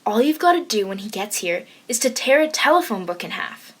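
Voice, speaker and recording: A woman's voice: medium pitch, very animated, a little fast, a bit bright, husky. A woman playing a helpful, scheming family friend in an old-time radio comedy.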